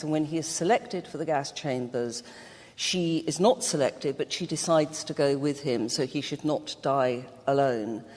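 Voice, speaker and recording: A woman speaking as a guest in a reflective literary discussion, with a halting, measured delivery. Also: low voice, darkish tone. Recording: very reverberant hall.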